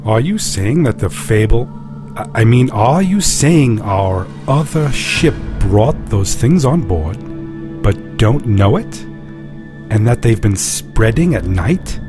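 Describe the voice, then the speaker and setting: A man playing a low-voiced, doubting spaceship crewman. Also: lively intonation, slow pace. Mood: uneasy, practical. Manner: questioning, plain-spoken. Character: doubting male spaceship crewman, questioning and plain-spoken. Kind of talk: scripted science fiction audio drama acting. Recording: music clearly under the dialogue.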